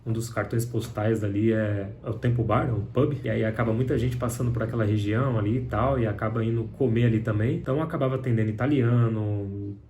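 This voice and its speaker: A young Brazilian man chats to camera in a loose, candid vlog manner, often tagging his sentences with little fillers. His voice sits fairly low for a man, and a faint hum of room ambience runs underneath. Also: fairly even intonation, fast, hardly any pauses, mellow. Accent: Brazilian Portuguese. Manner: conversational, frequent filler tags, candid. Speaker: vlogger. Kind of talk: casual talk to camera.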